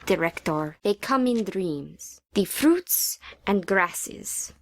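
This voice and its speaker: A woman's voice: mid-range voice, lively intonation, slow pace, no echo. A woman playing a temperamental, earnest stage actress in a game, declaiming in an overdramatic, self-indulgent, lyrical manner. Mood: lofty. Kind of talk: theatrical game acting, declamatory monologue.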